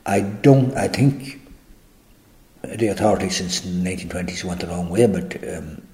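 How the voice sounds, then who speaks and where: An older man with an Irish accent, answering interview questions in a halting, reflective way with many restarts. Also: deep voice, dark tone. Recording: echoey room.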